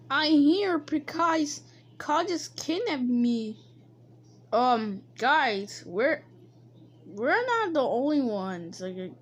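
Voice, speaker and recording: A high-voiced woman playing squabbling cartoon alphabet letters in a homemade animated skit. The delivery is slow and halting, with repeated phrases and a very lively melody. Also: husky. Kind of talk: improvised amateur animated skit dialogue.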